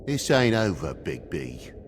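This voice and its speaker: A man's voice: low-pitched, very animated, a little slow, noisy background. A man with a British accent voicing a blunt, protective, anxious toad father in a video game. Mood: indignant. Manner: blunt, scolding, emotional.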